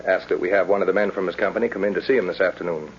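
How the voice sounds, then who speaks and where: Authoritative military doctor, low voice, clipped period radio-play delivery, muffled recording with hiss. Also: male voice, fairly even intonation, rapid-fire, gravelly. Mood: grave. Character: middle-aged army doctor captain, brisk and firm. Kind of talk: 1940s radio drama acting.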